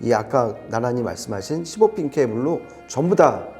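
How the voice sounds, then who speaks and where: Man, mellow timbre, lively intonation, emphatic explainer, conversational audio reviewer. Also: mid-range voice, normal speed, faint music behind.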